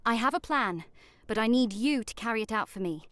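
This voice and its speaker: A woman's voice: fairly high pitch, animated, brisk, a bit bright, smooth, dry recording. An actress voicing a cursed woman trapped in a haunted house, explaining in a solemn, urgent way. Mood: grave. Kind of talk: video game voice acting. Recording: faint game music behind.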